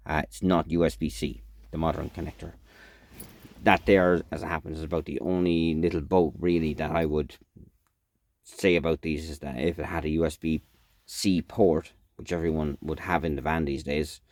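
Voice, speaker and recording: A man giving a casual, unscripted product demonstration as a reviewer, in a halting, matter-of-fact way. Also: rumbling low, level tone, a little mellow.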